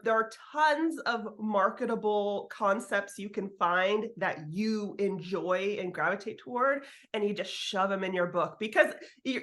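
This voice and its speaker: A woman's voice: medium pitch, a bit bright. A woman chatting informally as an interview guest, giving writing advice in a candid way with the occasional swear word. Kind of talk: casual interview chat.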